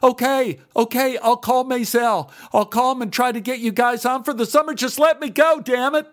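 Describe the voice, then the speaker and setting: A man doing a shrill, excitable frail young director. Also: moderate pace. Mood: panicked. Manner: high-pitched, shrill when panicked.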